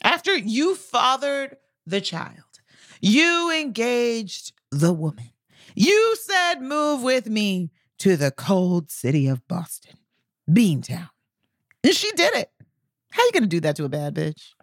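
A woman hosts a casual podcast with lively, swooping intonation. Her delivery is slangy and playfully emphatic. The recording is dry, with very little room sound. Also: on the high side, slow pace, no echo. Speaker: podcast co-host and comedy writer. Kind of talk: casual scripted-and-banter podcast chat.

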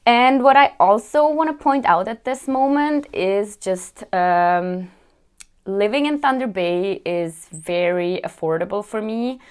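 A young woman from Switzerland, chatting casually in a vlog, laughing often and filling pauses with "like" and "um". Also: medium pitch, clear, resonant tone. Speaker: vlogger. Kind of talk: casual chat. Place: apartment.

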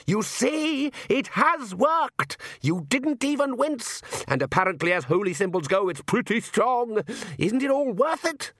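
A man's voice: mid-range voice, lively intonation, unhurried, a bit bright, no room sound, studio quality. A man voicing a haughty, scheming middle-aged vampire count in a storybook, urbane and patronising. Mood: gleeful, persuasive. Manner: theatrical, urbane.

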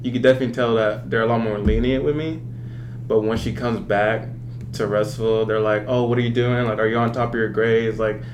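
Even-toned young man, lowish voice. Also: brisk. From Arkansas, United States. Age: about 20. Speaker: college student guest. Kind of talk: casual discussion. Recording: clear background hum.

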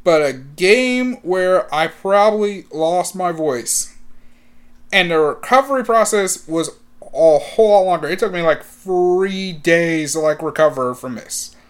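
A young man talking casually as a sports fan, rambling, repeating himself and using lots of fillers, and getting loud and excited at the big moments. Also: high-pitched, unhurried, clean tone. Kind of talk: casual talk to camera.

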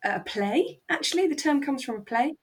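A woman's voice: high-pitched, lively intonation, moderate pace. A woman co-hosting a podcast, talking casually and reflectively as she explains her take on a radio drama. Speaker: podcast co-host.

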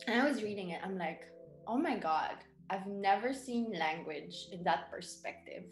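A woman's voice: mid-range voice, expressive, normal speed, roomy sound, faint music behind, low-quality recording. A young woman from the Philippines, a guest writer and mother, talking animatedly and laughing often in a podcast interview.

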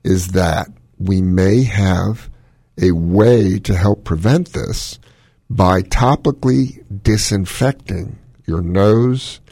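A man's voice: deep voice, slow pace, warm tone, no room sound, clean studio sound. A man with a smoky voice, a doctor hosting a call-in radio show, giving long opinionated explanations. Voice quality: smoky, gravelly voice. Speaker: cardiologist radio host.

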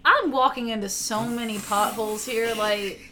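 A woman's voice: a little high, normal speed, background noise. A woman from the American South in casual chat, telling stories animatedly and laughing often. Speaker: guest.

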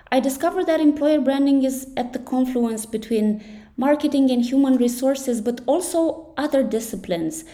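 A woman's voice: on the high side, warm tone. A woman hosting a podcast, introducing her guest and asking questions in a friendly, encouraging way. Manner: friendly, encouraging, frequent affirmations. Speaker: podcast host. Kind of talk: podcast interviewing.

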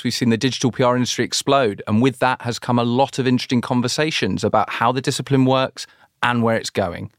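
A man's voice: mid-range voice, no room sound. Man hosting with dry sound. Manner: enthusiastic, rambling questions with fillers. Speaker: podcast co-host. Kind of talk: conversational podcast hosting.

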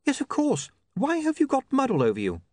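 A man's voice: very high-pitched, wildly swooping pitch, a little fast, darkish tone, no room sound, clean studio sound, voice pushed up, heavily acted. A man voicing a nervous, prim young woman in an office, polite and a little hesitant. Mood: polite, curious.

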